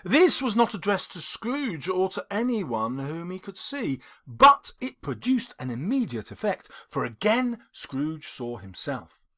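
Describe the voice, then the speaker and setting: A man reads classic fiction as an audiobook narrator. His delivery is dramatic and expressive, with a very animated melody. He takes his time over each phrase. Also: sits high, measured pace. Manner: theatrical, expressive. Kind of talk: dramatic scripted storytelling.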